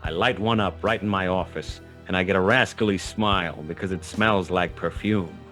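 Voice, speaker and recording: An actor playing a wry, hard-boiled private detective, narrating a noir radio drama in a dry, sardonic way. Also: male voice, deep voice, fairly even intonation, moderate pace, a bit bright, soft background music. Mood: satisfied, wry.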